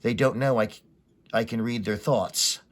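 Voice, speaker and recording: A man's voice: mid-range voice, fairly even intonation, moderate pace, lightly acted. A man voicing a panicked, desperate human explorer from a comic story, with dramatic, urgent declarations. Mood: tense, scheming.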